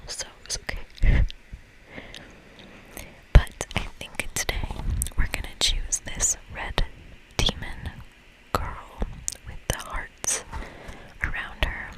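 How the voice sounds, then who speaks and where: Asmr gamer whispering a playthrough, super slow and soft, kinda chatty. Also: poor audio. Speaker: host playing a video game.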